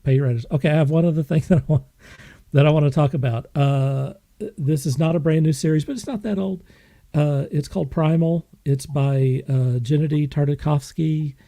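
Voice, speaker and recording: A man hosting a podcast, reviewing things in an enthusiastic, casual way, emphatic and effusive with halting repetition. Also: a little high, gravelly, warm tone. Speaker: podcast host.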